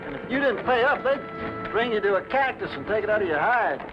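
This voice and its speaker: A wary Western gunhand acted by a man with a high voice and plain, folksy frontier talk. The recording is muffled, with music clearly playing behind him. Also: big pitch swings, brisk. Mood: wary, plain-spoken. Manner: folksy frontier drawl idioms, plain-spoken. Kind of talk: dramatic dialogue in a Western.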